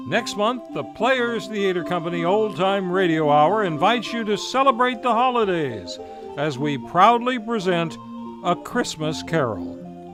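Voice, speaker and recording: High-voiced man announcing old-time radio. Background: music.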